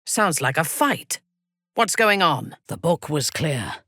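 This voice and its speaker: A woman voicing a ruthless, sensual female warrior commander in a fantasy video game, with a husky, imperious and measured menacing delivery. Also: deep voice, very animated, a bit bright, no room sound, clean studio sound, exaggerated voice. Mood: commanding. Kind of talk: dramatic fantasy game dialogue.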